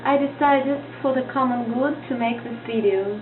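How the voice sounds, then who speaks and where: A woman's voice: fairly high pitch, moderate pace. A woman with a Russian accent, a native Russian speaker, teaching in a casual vlog lesson, hesitant and searching for words as she talks. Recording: tinny lo-fi audio with music behind.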